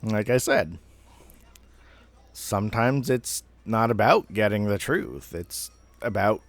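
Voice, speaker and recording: Male, thirties, lower register, dry close sound; casual, halting, sweary storyteller. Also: lively intonation, dry recording. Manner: rambling, halting, profane. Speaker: amateur storyteller. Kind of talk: casual personal storytelling monologue.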